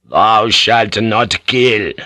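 A man voicing a solemn, bulky priest of firm moral authority, speaking slowly and gravely in an audiobook story. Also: deep voice, sharp tone, no echo, studio quality, voice pushed down, heavily acted. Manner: slow, grave, deliberate.

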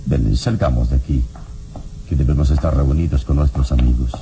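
An actor playing a calm, wise adventurer hero in a radio serial, speaking in a measured, solemn and reassuring way. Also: male voice, a bass voice, soft background music. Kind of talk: dramatic radio serial acting.

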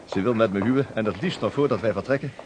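An actor playing a polite, eager young sailor about to marry, in a period radio drama, with faint street noise. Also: male voice, on the low side, fast, a little mellow, close to an everyday voice.

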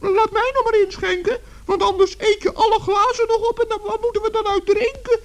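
A man voicing a cheerful, fussy, kindly little gnome in squeaky falsetto for a children's radio play. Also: fairly even intonation, fairly quick. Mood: wary, fussy.

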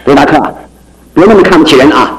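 An actor playing a boisterous, carefree young bachelor with a teasing, chuckling, theatrical manner in a radio drama. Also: male voice, fairly high pitch, expressive, quick pace, crowd noise, natural voice. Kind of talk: Mandarin radio drama acting. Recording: faintly noisy old radio broadcast.

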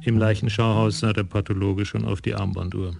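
A man narrating a radio crime play in a wry, measured storytelling manner. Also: fairly low pitch, flat delivery, gravelly, darkish tone, soft background music. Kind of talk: scripted radio drama narration.

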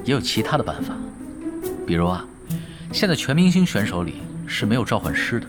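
An actor playing a laid-back, shrewd veteran pro gamer who gives wry, casual advice in a radio drama. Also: male voice, lowish voice, sing-song, a little fast. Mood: wry, instructive. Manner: casual, wry, advising juniors. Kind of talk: Chinese radio drama dialogue. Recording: music behind the voice.

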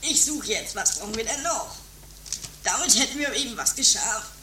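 A woman plays a brash and excitable comedy figure in a homemade radio play. The voice is high and quick to complain, heard some way from the mic in a roomy space. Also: lightly acted. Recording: distant, roomy, noisy 1980s amateur tape.

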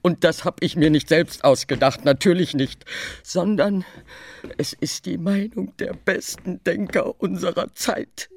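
An actor playing a pompous learned courtier who philosophizes in a declarative manner in a radio play. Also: male voice, high-pitched, steady tone, a little slow, vocal fry, slight background noise.

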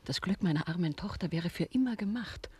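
An actress playing an anxious, deferential mother pleading for her daughter in a German radio play, very husky and breathy. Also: on the low side, animated, brisk, darkish tone, dry recording. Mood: pleading, dismayed. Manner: deferential, imploring.

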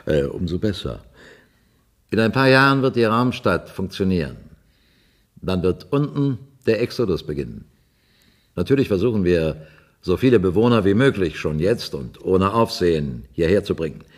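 An actor in a German radio drama playing a senior space-city official, coolly calculating and persuasive, speaking in a businesslike way with small hesitations. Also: male voice, low-pitched, sing-song, moderate pace.

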